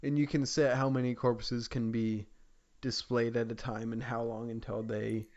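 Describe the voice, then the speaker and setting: A man co-hosting a podcast in casual gaming chat, with a hesitant, halting delivery. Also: medium pitch, level tone, a little mellow, muffled recording. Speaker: podcast co-host.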